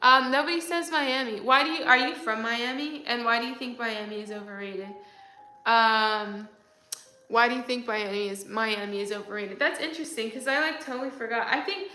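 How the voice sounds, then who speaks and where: Chatty young woman, bright voice. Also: a little high, moderate pace, roomy sound, faint music behind, poor audio. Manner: chatty, rambling, many fillers. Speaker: livestream host. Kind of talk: casual chat reading viewer comments.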